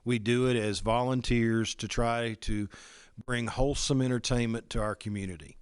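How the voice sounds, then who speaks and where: A man gives a documentary interview in a conversational way. His voice sits at an ordinary male pitch, and he speaks as a member of a volunteer troupe. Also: steady tone, moderate pace, slight background noise.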